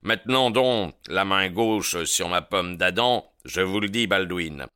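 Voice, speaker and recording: Casting: male reader as blustering crime-story gang chief, low and forceful, menacing but jovial. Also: unhurried, clean tone, no room sound, studio quality. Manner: commanding, blustering, hearty. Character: domineering male lodge boss, menacing yet heartily jovial.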